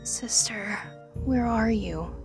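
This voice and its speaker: A woman's voice: a little high, slow, vocal fry, a little mellow, soft background music. A woman playing a young changeling princess in an audio drama, wistful and proudly stubborn, with formal, stately phrasing. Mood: wistful, curious.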